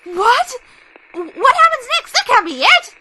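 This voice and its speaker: A woman's voice: extremely high pitch, big pitch swings, unhurried, heavy background noise, full character voice. A woman playing a headstrong, curious young mare in an audio drama, theatrical and indignant.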